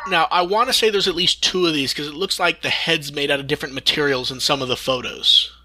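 A man's voice: a little high, quick pace, bright, forceful tone, slight background noise. A man hosting a casual fan news discussion, talking in an enthusiastic, rambling way and occasionally swearing. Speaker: podcast host.

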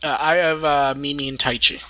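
A man's voice: fairly high pitch, fairly even intonation, a little fast, pushed and bright. A man hosting a casual fan news chat, talking in an enthusiastic, rambling way. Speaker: podcast host.

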